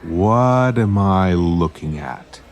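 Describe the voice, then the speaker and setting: An actor playing a guarded male investigator in an audio drama, deliberate and a little hesitant. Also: sits low, lively intonation, a little mellow, faint music behind, heavily acted. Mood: uneasy, puzzled. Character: steady, guarded male investigator protecting an informant.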